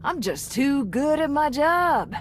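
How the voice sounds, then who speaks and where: A smug young game mechanic. She is sarcastic and casual, with big swings of pitch and faint ambience. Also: sing-song, slow, a little hiss or hum, heavily acted. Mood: teasing, smug. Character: cocky, wisecracking young female mechanic.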